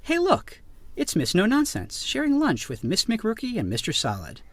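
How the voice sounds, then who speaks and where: A man's voice: sits high, wildly swooping pitch, normal speed, a bit bright. A man reading a scripted explainer narration in a playful storytelling way, with emphatic stresses. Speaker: narrator of a teacher training video.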